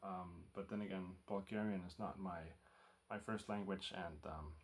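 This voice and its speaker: A man with a somewhat low-pitched voice talks casually at home. He speaks haltingly, with frequent ums, uhs and restarts. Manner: hesitant, many ums and restarts. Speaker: record collector vlogger. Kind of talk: casual talk to camera. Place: home room lit by a lamp.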